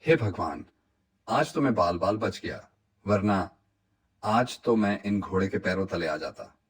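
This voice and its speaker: Guy acting as nervous poor village dad in a cartoon, low kinda raspy voice, fretting to himself. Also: animated, a little slow, full character voice. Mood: anxious relief. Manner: fretful, exclaiming, talking to himself. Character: weary, anxious poor village man seeking work, a father.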